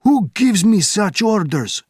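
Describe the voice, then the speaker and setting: A man's voice: a little high, very animated, slow, breathy edge, no echo, clean studio sound, voice pushed up, exaggerated voice. A man voicing an urgent young Soviet officer who barks questions and orders. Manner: sharp, commanding shouting.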